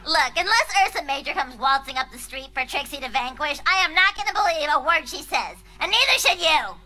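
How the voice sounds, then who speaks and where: A woman's voice: high-pitched, expressive, brisk, pushed and bright. A woman voicing a blunt, exasperated young male baby dragon in a live fan reading. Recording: faint show music underneath.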